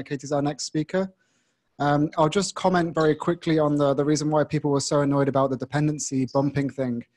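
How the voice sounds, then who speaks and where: A man with a fairly high voice hosts a live meetup. He introduces things casually, with a chatty, joking manner aimed at the room. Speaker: meetup host. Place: meetup room before a seated audience.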